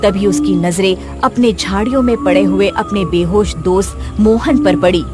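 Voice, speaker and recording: A woman's voice: mid-range voice, moderate pace, music in the background. A woman reading scripted narration as the storyteller of a tale.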